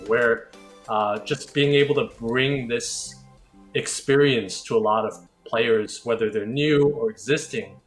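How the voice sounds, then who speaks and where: A man with a medium-pitched voice gives casual interview answers as an esports caster. Music plays behind his conversational talk. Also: fairly bright tone, slightly rough.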